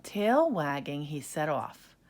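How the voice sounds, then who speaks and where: A woman narrating a children's story in an expressive read-aloud style. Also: low voice, takes it slowly.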